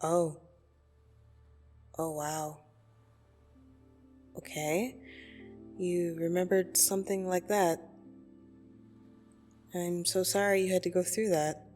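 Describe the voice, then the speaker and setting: A woman playing a blunt, teasing yet soothing dream demon in an ASMR roleplay, speaking deliberately and intimately with gentle condescension. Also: fairly low pitch, steady tone. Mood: soothing, concerned. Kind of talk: ASMR roleplay audio drama. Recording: soft music behind the voice. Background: soft meditation music.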